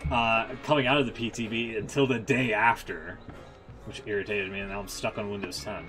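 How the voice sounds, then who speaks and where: A man's voice: fairly low pitch, fairly bright tone, low-quality recording. A man hosting a game stream with casual, opinionated, rambling commentary and some swearing.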